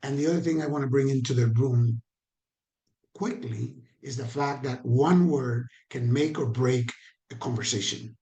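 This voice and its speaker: This man speaks with a Caribbean Spanish accent, and he sits in the middle of the usual pitch range for men. He leads a training session over a video call with a loose, conversational delivery. He puts questions to his audience and repeats his points for emphasis. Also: normal speed, mellow. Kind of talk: online training talk.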